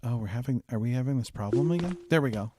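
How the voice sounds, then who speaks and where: A man's voice: medium pitch, lively intonation, quick pace, dark tone, dry recording. A man hosting casually, giving short spoken commands to a game and commenting as he plays. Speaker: show host playing a voice-controlled game.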